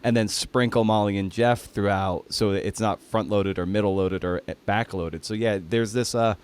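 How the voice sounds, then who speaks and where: Low, smooth-voiced man hosting a podcast. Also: steady tone, normal speed. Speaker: podcast host interviewing a writer. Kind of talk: casual conversational interview.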